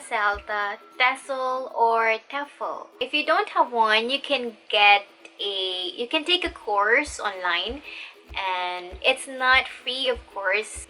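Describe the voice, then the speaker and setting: Upbeat Filipina teacher vlogging. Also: female voice, on the high side, sharp tone. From Philippines. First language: Tagalog. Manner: chatty, upbeat, addresses viewers as guys. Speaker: online English teacher and vlogger. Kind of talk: casual talk to camera.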